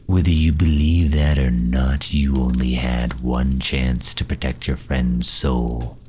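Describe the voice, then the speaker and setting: A man playing a grave, haughty dark knight who absorbs souls, speaking formally and deliberately with a deep, breathy, husky voice in an audio roleplay. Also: flat delivery, a little hiss or hum. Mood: cold, bitter. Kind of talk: slow dramatic audio roleplay monologue.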